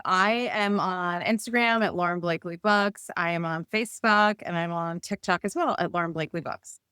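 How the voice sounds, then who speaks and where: A woman with a smooth, clear voice chats casually as an interview guest. She is an author who talks with effusive enthusiasm. The sound is dry and close, with no room echo. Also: fairly low pitch, moderate pace, bright, forceful tone.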